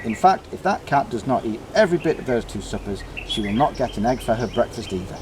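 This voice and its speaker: A man's voice: mid-range voice, very animated, fast talker, lightly acted. Man doing cross father, stern, scolding, emphatic, storybook character, noisy outdoor recording. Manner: scolding, emphatic, laying down the law.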